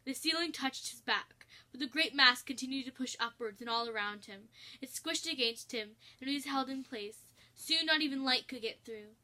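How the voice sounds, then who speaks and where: A woman's voice: high-pitched, moderate pace, bright, forceful tone. A woman narrating a piece of comic fan fiction, reading aloud as a storyteller.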